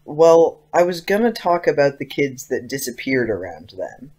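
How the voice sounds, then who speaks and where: An actor playing an earnest, persistent teenage schoolboy with casual, youthful phrasing in an audio drama. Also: male voice, a little high, big pitch swings, moderate pace, a little mellow. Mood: eager.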